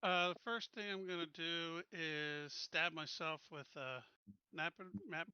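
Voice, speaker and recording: Very bright, forceful man at a game. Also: fairly high pitch, steady tone, slow pace, clear, resonant tone, no echo, highs cut off. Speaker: player in a tabletop game. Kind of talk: casual game chat.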